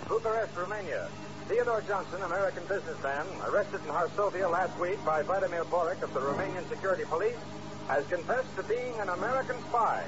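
High-voiced male newsreader, acted, urgent, formal, 1950s radio drama, music behind, muffled recording. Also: lightly acted. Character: urgent male newsreader reading a wire dispatch. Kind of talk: 1950s radio news bulletin.

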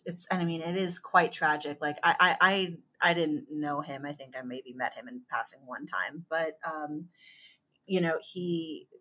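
A woman in her thirties from California, talking as an author guest in a podcast interview, halting and thoughtful with many fillers and restarts. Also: low voice, sharp tone, rough recording. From California, USA.

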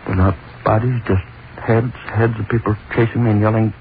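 A man's voice: lowish voice, fairly even intonation, a little fast, lightly acted. A man playing a guilt-ridden hangman in an old-time radio drama, intense and repetitive as he unravels into terror. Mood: haunted, paranoid. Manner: intense, repetitive, haunted delivery. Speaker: lead actor and narrator. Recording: noisy, tinny old-time radio recording.

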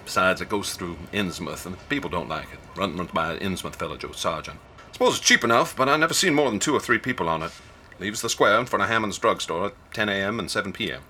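An actor playing an older small-town ticket agent in a radio drama, a wary gossip with rambling, rustic speech. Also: male voice, sits low, expressive, quick pace, bright, forceful tone, slight background noise. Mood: matter-of-fact, folksy.

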